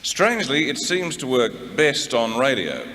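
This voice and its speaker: A man's voice: medium pitch, big pitch swings, a little slow, bright, forceful tone, a little hiss or hum. An Australian man presenting a scripted introduction with casual, colloquial touches. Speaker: tribute presenter. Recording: long hall reverb.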